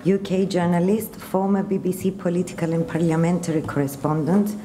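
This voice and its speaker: Woman, low voice, mellow tone, formal conference moderator, measured delivery. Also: little pitch movement. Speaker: event moderator. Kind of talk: formal introduction. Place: conference hall.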